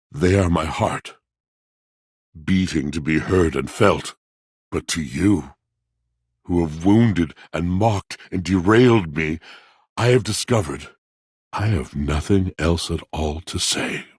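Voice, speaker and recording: A grand old-fashioned poetic appliance for a game, super slow n husky, swoops up and down, very theatrical n formal. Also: male voice, dry recording, clean studio sound, full character voice. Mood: musing, lofty, then hurt, cold. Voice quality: gravelly, deep. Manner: theatrical, florid, formal old-fashioned diction, savoring words. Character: grandiloquent, melancholy, poetic washing machine with courtly old-world manners. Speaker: video game voice actor. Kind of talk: theatrical video game character acting.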